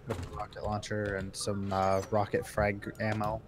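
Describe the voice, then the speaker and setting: A man's voice: on the low side, monotone, moderate pace. A man chatting casually as a co-player during a streamed video game. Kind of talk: casual chat while gaming. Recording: faintly noisy online game chat.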